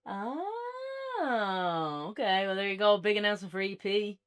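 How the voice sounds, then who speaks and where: Lively Irish woman in casual banter. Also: medium pitch, measured pace, clear, resonant tone. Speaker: podcast co-host reviewing a play. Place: indoors on a couch, podcast setup.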